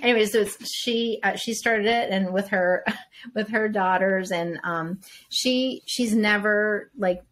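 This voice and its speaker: A woman's voice: medium pitch, a little slow, fairly bright tone. A woman co-hosting a podcast in casual chat, rambling with restarts and asides. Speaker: podcast co-host.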